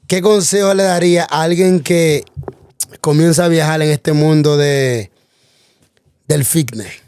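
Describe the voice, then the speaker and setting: A man's voice: fairly high pitch, a little slow, a little mellow, clear, resonant tone, no echo. A man interviewing a guest in a joking, teasing way, full of slang exclamations.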